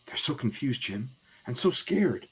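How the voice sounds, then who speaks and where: A man voicing a gentle, troubled young mother from a comic, speaking tenderly. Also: medium pitch, sing-song, a little slow, breathy edge, pitched above the performer's own voice, exaggerated voice, low-quality recording. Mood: wistful.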